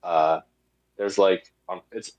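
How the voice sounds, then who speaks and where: A man's voice: low voice, fairly even intonation, slow, darkish tone. A man being interviewed as the creator of a web series, chatting casually and enthusiastically with some self-deprecation over a muffled connection. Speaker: guest, creator of an analog horror web series.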